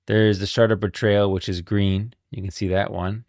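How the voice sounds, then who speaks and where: A man's voice: lowish voice, flat delivery, normal speed, a little mellow, dry recording, clean studio sound. A man hosting a livestream, talking casually about game lore and rambling as he thinks aloud. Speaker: livestream host.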